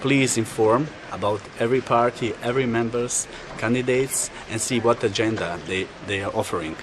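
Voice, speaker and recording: A man's voice: mid-range voice, fairly quick, background noise. A man making a short, direct appeal to listeners in the street.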